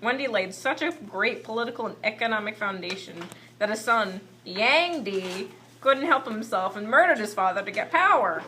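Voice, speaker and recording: Bright-voiced woman as a puppet history teacher. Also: medium pitch, animated, moderate pace. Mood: instructive, exasperated. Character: patient puppet history teacher managing unruly class. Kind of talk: scripted lesson in amateur puppet show. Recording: faint background noise, amateur recording.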